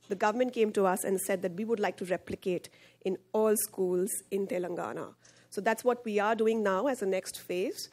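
A woman's voice: mid-range voice, a little mellow. A woman in her thirties giving a conference panel presentation at a podium, explaining a project with frequent fillers. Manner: explanatory, gestures while speaking, frequent fillers. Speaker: head of partnerships at a charity. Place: conference hall stage at a podium. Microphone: podium microphone.

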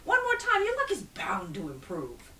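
A woman's voice: medium pitch, huge pitch swings, normal speed, pushed and bright, far from the mic, slight background noise, voice pushed up. A woman voicing a sly, cheerful trickster man in a storybook, wheedling and exclaiming in a sing-song way. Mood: mock sympathy.

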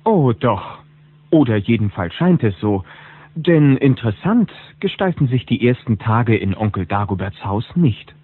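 A man's voice: medium pitch, very animated, a little slow, husky. A man narrating a children's audio drama as a chatty, knowing storyteller who poses rhetorical questions. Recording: phone-like old cassette sound.

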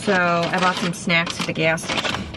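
A woman's voice: fairly low pitch, flat delivery, normal speed, heavy background noise. A woman in her twenties chatting casually into a handheld camera as she goes about her day, rambling and saying "like" a lot. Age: 20s. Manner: chatty, rambling, says "like" often. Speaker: vlogger. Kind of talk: casual vlog chat. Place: car, kitchen and apartment. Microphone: handheld phone camera at arm's length.

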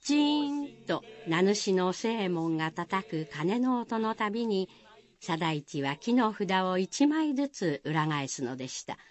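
A woman's voice: medium pitch, big pitch swings, slow. A woman narrating a Japanese village folktale in a scripted radio drama style. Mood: measured.

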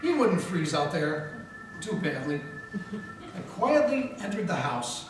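Wry hard-boiled detective narrator, distant. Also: male voice, high-pitched, very animated, unhurried, music in the background. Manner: wry, hard-boiled first-person storytelling. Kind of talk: live 1940s-style radio play narration. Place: historic mansion room with live audience and Christmas tree. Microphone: stand mic, filmed by a far camera. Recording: echoey room taped from far off.

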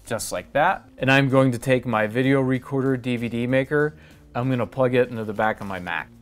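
Middle-aged man giving a step-by-step tutorial. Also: mid-range voice. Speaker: tutorial host. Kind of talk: step-by-step how-to demonstration.

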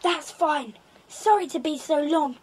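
Eager, good-natured British boy for children's drama, extremely high voice. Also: unhurried, a little mellow. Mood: pained, rueful. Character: eager English schoolboy adventurer, chatty and good-natured. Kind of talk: children's audio drama acting.

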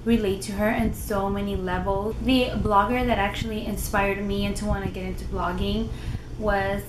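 Loud, animated young woman vlogging at home. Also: medium pitch, moderate pace. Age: young adult. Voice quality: loud voice by nature. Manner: animated, gestures a lot with her hands. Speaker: lifestyle vlogger. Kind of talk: casual talk to camera. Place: living room, indoors. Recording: roomy with noticeable background noise.

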